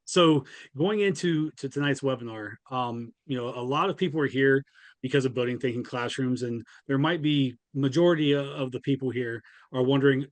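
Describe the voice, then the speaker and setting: A man's voice: medium pitch, no room sound. A man hosting an online webinar, informally introducing guests and putting questions to them. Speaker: webinar host, podcast co-host.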